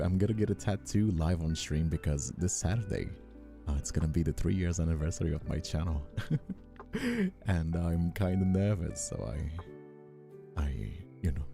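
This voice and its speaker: A man's voice: sits low, vocal fry, dark tone. A man chatting casually on a live stream as its host, affectionate with his viewers, with a flirty touch. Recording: faint music behind the voice.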